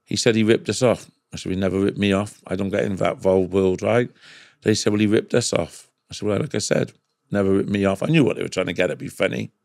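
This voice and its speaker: A man's voice: lowish voice, fairly even intonation, fast, gravelly, a little mellow, dry recording, studio quality. A middle-aged man with a Cardiff Welsh accent telling casual anecdotes as a podcast guest, emphatic and repetitive, often checking in with "right?". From Cardiff, Wales.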